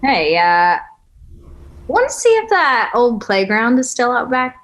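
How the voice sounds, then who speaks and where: Actress as a jaded, animated single woman. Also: a little high, a little slow, full character voice. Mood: teasing. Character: jaded, blunt, wistful single woman on a date. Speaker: actor in a table read. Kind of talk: conversational script reading.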